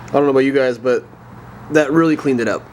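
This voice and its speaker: A young man casually chatting to viewers while working on a car engine, excited and slangy. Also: mid-range voice, quick pace, noisy background. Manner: excited, slangy, talks to viewers as "you guys". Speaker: car build vlogger. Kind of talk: casual garage chat to camera.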